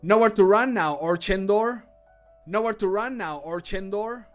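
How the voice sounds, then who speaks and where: Dramatic male fantasy game character read by a man, slightly high voice, soft music behind. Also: unhurried. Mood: shouting. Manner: shouted battle cries in combat lines. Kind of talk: recording game voice lines.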